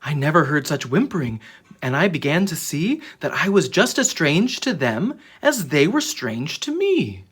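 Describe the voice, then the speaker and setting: Husky, expressive children's story reader. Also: medium pitch, unhurried. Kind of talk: animated children's storybook read-aloud.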